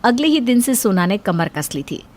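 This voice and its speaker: A woman's voice: medium pitch, very animated, moderate pace, darkish tone. A woman narrator reading a scripted domestic story in storytelling style.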